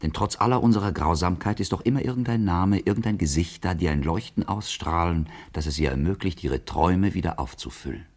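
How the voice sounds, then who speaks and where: Brooding, watchful man, very deep and husky, solemn literary radio monologue. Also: a little fast, few pauses. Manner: solemn, poetic declamation.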